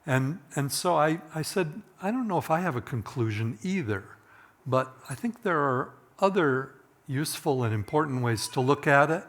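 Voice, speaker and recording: A middle-aged man with a slightly hoarse voice, presenting a technical conference talk in an informal, conversational way, thinking aloud as he goes. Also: on the high side, expressive, normal speed, a bit bright. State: voice getting hoarse.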